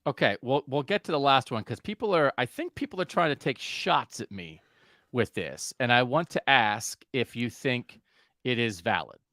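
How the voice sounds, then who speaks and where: A man hosting a sports podcast, talking casually in an animated way and asking his co-host questions. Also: medium pitch, normal speed, dry recording, clean studio sound.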